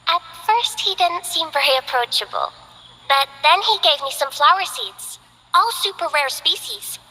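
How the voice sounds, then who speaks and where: A gentle, shy young botanist girl from a game, soft-spoken and a little hesitant. Her voice sits high and bright with a breathy edge. It is heard with a long hall reverb. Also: animated, moderate pace, full character voice. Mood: cheerful. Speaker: game character. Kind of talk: game voice lines.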